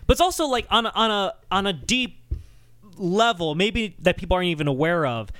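A man hosting a podcast, explaining things in a casual, animated and wry conversational way. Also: high-pitched, a little fast, clean tone, dry recording. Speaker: podcast host.

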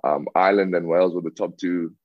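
A man's voice: sits low, fairly even intonation, fast talker, no room sound. A young man from South Africa, a rugby player answering interview questions casually, with many fillers and restarts. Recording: dull lo-fi dry sound.

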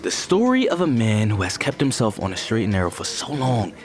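A man playing an eager, placating pitchman selling a series idea in an audio fiction drama. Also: very animated, brisk. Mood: earnest pitch.

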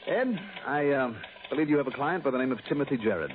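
An actor playing a wry, unflappable insurance investigator in an old radio drama, dry and sardonic. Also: male voice, mid-range voice, lively intonation, quick pace, narrow, tinny audio, noisy background, lightly acted. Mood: casual, curious. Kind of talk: old-time radio drama acting and first-person narration. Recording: thin old radio recording, loud music.

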